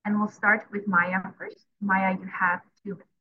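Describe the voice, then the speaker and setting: A woman's voice: medium pitch, flat delivery, normal speed, narrow, tinny audio, a crowd in the background. A woman moderating a candidates' debate, reading questions out to the speakers in turn.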